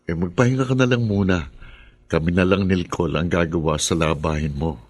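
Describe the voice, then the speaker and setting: A man's voice: low voice, fairly quick, breathy edge, dry recording. A man playing a supportive, hardworking poor father in a Filipino radio drama, plain-spoken and reassuring.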